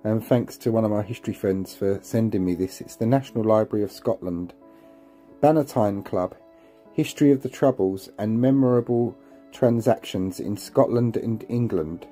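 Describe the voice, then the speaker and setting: A man's voice: lowish voice, moderate pace, dark tone, soft background music. A man hosting a history vlog, narrating to his viewers in a conversational way.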